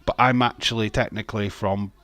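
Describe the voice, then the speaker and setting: A man's voice: on the low side, steady tone, fairly quick, a bit bright, no room sound. A middle-aged man with a Yorkshire accent, hosting a casual watch-along stream, chatting loosely, reading viewer comments and laughing often between rambling restarts. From Yorkshire, England. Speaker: livestream host.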